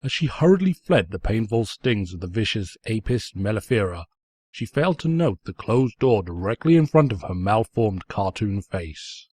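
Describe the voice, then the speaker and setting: A man's voice: on the low side, very animated, normal speed, a little mellow, husky, no room sound. A man reading a story as a theatrical narrator, mock-pompous and sardonic. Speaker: narrator, fan fiction reader.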